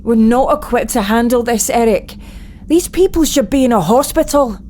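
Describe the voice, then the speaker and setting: An actress playing a sad, compassionate medic in a superhero audio drama. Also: female voice, on the high side, lively intonation, normal speed, dark tone, slight background noise, close to an everyday voice. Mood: sorrowful worry.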